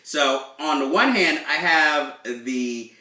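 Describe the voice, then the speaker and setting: Bright-voiced male host, chatty. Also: on the high side, lively intonation, slow, echoey room, recorded from a distance. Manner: rambling, self-deprecating, chatty. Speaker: host, film reviewer. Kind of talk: casual chat.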